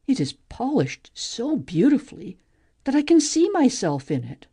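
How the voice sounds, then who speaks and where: A woman playing a curious, highly animated maiden. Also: normal speed, slightly rough, exaggerated voice. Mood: wondering, eager. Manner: wondering, musing aloud. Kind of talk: amateur audiobook play reading, expressive.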